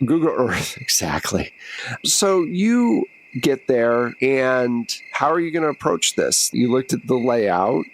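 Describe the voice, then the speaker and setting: A man's voice: medium pitch, big pitch swings, slow, gravelly, poor audio. A man narrating a tabletop roleplaying game as game master in a casual, conversational, improvised way.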